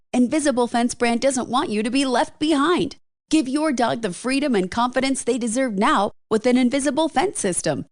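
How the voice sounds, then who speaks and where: A woman delivers a scripted advertisement as an announcer. Her voice sits high. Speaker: commercial announcer. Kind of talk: scripted ad read.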